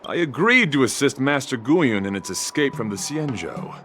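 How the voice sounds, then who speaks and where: An actor playing a gallant young male knight who speaks in a formal, measured, courtly way, with game music behind. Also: medium pitch, sing-song, fairly bright tone. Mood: calm, courtly.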